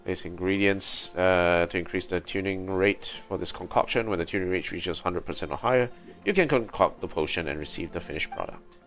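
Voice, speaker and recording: A man's voice: low-pitched, fairly quick, a bit bright, faint music behind. A man doing casual live commentary on a video game, sometimes reading out text from the screen. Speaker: game livestreamer.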